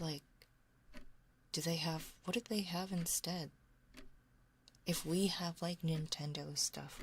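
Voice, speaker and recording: A woman with a deep voice and a noticeable vocal fry chats softly as an ASMR livestream host. She often drops to a whisper, very close to a binaural microphone. A faint layer of noise sits behind her. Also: low-quality recording. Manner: soft-spoken, hushed, slipping into whispers. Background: faint noise, soft clicks of a ticking clock.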